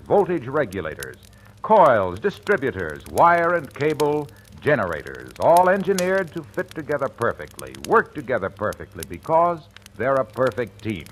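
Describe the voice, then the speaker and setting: A man's voice: medium pitch, slight background noise. An American man reading scripted mid-century radio ads and credits with emphatic, polished salesman delivery. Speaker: commercial announcer.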